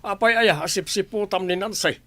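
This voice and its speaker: An actor playing a gruff, suspicious, irritable brother-in-law in an audio drama, with a Filipino accent. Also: male voice, high-pitched, normal speed, pushed and bright, dry recording. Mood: irritated. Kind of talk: Ilocano audio drama acting.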